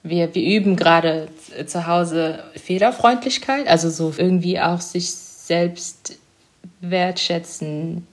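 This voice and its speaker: A young woman in a reflective interview, her voice sitting a little on the low side. She talks hesitantly, circling and searching for the right words, with frequent small fillers. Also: fairly even intonation, slow. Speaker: author interviewed about her book.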